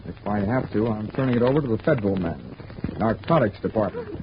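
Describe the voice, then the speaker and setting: A man's voice: fairly low pitch, animated, fast talker, heavy background noise, lightly acted. An actor playing a hard-boiled male insurance investigator who narrates his own case, with a dry, world-weary, wisecracking manner in a 1940s radio drama. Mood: pushy. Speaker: narrator and lead. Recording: muffled old radio recording with music.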